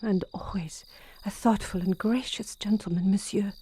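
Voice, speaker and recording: An actress playing a concerned female servant to a French gentleman in an audio horror drama, polite, tentative and deferential. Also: medium pitch, fairly even intonation, moderate pace, breathy edge, natural voice. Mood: gentle deference.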